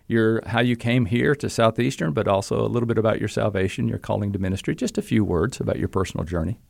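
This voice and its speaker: Tiefe, knarzende Männerstimme, trocken aufgenommen. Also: male voice, fairly low pitch, fast talker, gravelly, a little mellow, dry recording. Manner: friendly, easygoing, restarts phrases. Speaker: podcast host and seminary professor. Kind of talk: conversational podcast interview.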